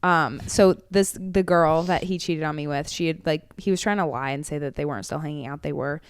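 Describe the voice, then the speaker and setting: A woman's voice: low voice, fairly quick, smooth, no room sound. A young woman co-hosting a casual podcast, telling stories and reading messages aloud in a mocking, dramatic way. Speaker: podcast co-host. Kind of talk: casual chat, reading ex's emails aloud.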